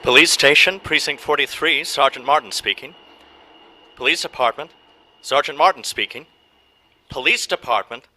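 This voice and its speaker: A man as a forceful, sharp police sergeant. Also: fairly high pitch, moderate pace, pauses often, slight background noise, low-quality recording. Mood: official, curt. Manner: official, curt. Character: businesslike male police desk sergeant. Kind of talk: 1940s radio play acting.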